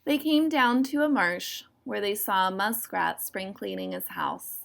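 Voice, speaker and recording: A woman narrating a children's story in an expressive read-aloud style. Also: medium pitch, a little slow, vocal fry.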